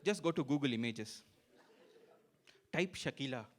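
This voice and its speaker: A man's voice: mid-range voice, expressive, a little slow. Tamil-accented man doing stand-up. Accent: Tamil Indian English. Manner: punchy, playful, jokey timing. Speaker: stand-up comedian. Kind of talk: stand-up comedy set. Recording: live stage mic with audience laughter. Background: audience laughter.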